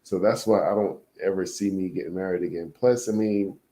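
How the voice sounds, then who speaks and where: A man's voice: low voice, fairly even intonation, brisk, warm tone. A man in his early forties talking frankly in a rambling casual monologue, full of fillers and swearing. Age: 40s. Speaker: vlogger.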